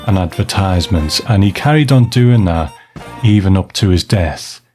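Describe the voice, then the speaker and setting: Casting: male host with a lowish voice for scripted review narration over soft background music. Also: expressive, moderate pace.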